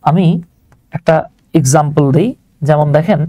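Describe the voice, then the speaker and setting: A man's voice: on the high side, moderate pace, mellow, dry recording, poor audio. A man with a Bangladeshi accent teaching grammar informally, step by step, often putting questions to his learners as he explains. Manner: conversational, checks on learners with rhetorical questions.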